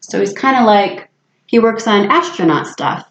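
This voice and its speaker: A woman reading a picture book aloud to children like a teacher, with an animated, dramatic delivery and casual asides. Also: mid-range voice, normal speed, gravelly, slight background noise. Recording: echoey room, slightly muffled sound.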